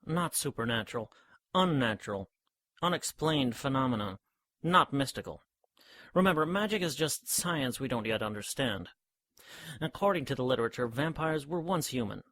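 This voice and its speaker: Rational scholarly gentleman, medium male pitch, formal, pedantic, composed, precise wording, dry recording, audio drama dialogue. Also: dry recording. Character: bookish, rational gentleman scientist, composed and certain.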